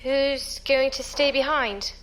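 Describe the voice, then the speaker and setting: A woman's voice: sits high, slow pace, fairly bright tone, faint music behind, low-quality recording. A woman playing an inquisitive, uneasy newcomer to a space pirate crew, talking informally in an audio drama. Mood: friendly, anxious. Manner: questioning, informal. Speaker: voice actor. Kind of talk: science fiction audio drama dialogue.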